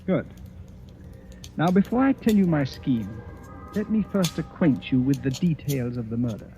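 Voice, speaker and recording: A smug, theatrical master detective of radio drama, played by a man, with a pompous, deliberate manner. The voice is dark and warm on a muffled old recording with faint music. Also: on the high side, animated, lightly acted. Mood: smug, commanding. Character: self-satisfied, theatrical English master detective, a knighted egotist. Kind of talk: old-time radio horror acting.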